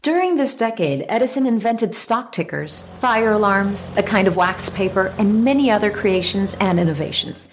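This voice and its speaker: A woman's voice: medium pitch, expressive, moderate pace, hardly any pauses, a little hiss or hum. A woman reading scripted documentary narration.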